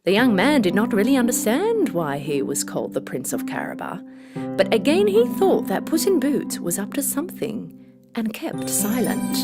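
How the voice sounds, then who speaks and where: A woman narrating a children's fairy tale from a script in a dramatic, expressive storytelling manner. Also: medium pitch. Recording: music bed behind voice.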